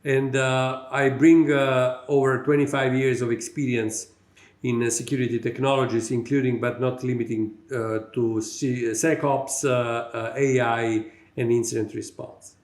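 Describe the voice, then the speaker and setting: A middle-aged man from Italy with an Italian accent, a company executive giving a prepared conference talk, halting with many fillers. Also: medium pitch, little pitch movement, echoey room.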